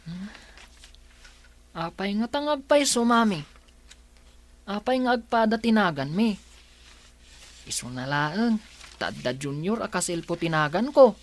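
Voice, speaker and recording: Woman playing an earnest, unhurried Filipino schoolboy. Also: mid-range voice, lively intonation, natural voice. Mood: troubled. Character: earnest schoolboy son, eager and questioning. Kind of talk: radio drama acting.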